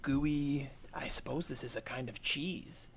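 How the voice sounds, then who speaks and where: A man voicing a hesitant, stammering scholar of the future, speaking formally and in hushed tones in an improvised comedy sketch. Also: medium pitch, measured pace, a little hiss or hum. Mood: furtive, fascinated. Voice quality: hushed, creaky. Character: stammering male scholar of the future whispering furtively in library.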